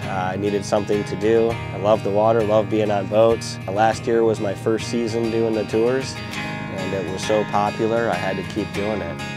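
A man in his forties from Lower Michigan, talking in a relaxed, plain way while guiding a tour on a small boat outdoors. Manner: relaxed, plain-spoken, with fillers. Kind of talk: casual interview, guiding a tour. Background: music.

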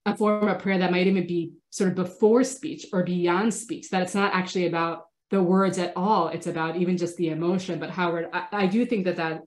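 A woman in her thirties with a lowish voice and a stuffy, sniffly cold teaches a class over a laptop webcam from her home study. She talks thoughtfully and casually, often restarting her sentences. The audio sounds roomy. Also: steady tone, fairly quick, few pauses. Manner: thoughtful, hesitant restarts, warm engagement with participants. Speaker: rabbi teaching an online class. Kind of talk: casual online lecture answering chat questions. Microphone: laptop webcam video call.

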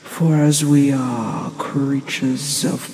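A performer voicing a gentle, ancient dragon teacher in a hushed, breathy, deliberate ASMR fantasy roleplay, calm and solemn with long pauses. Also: mid-range voice, noisy background. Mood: dreamy, solemn.

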